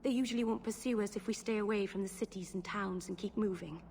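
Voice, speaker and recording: A woman's voice: mid-range voice, fast, vocal fry, darkish tone, a little hiss or hum, natural voice. A woman playing a young elven mage in a fantasy video game, nervous and earnest, rambling and correcting herself apologetically. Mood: solemn, earnest. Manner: rambling, hesitant, self-correcting.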